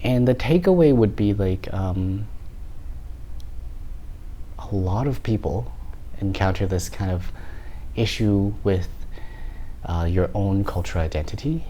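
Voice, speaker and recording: Low, mellow-voiced man, thoughtful and reflective. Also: a little hiss or hum. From Canada. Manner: thoughtful, restarts words often. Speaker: artist being interviewed. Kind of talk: reflective interview talk.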